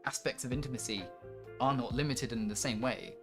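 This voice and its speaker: A man's voice: medium pitch, brisk. Emphatic, sweary man in discussion. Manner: emphatic, sweary, animated when arguing. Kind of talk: casual opinionated discussion. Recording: music playing behind the voice. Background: music.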